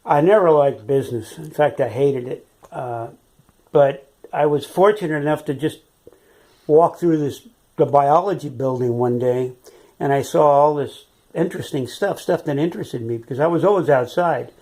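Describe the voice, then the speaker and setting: An older man from San Francisco, now in his eighties, reminisces in a relaxed, rambling way, often pausing on "uh" and "you know." His tone is soft and mellow rather than bright. He sounds like a retired teacher sitting for an oral-history interview. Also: fairly high pitch. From San Francisco, California. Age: 80s. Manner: rambling, with frequent "uh" and "you know", chuckles as he remembers.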